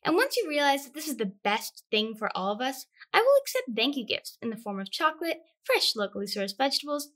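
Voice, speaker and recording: Woman acting high-pitched, pompous, indignant classroom scold. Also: sing-song, fairly quick, few pauses, fairly bright tone. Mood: smugly self-righteous. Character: indignant, self-righteous young woman lecturing seventh-grade class. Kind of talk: comedic theatrical monologue.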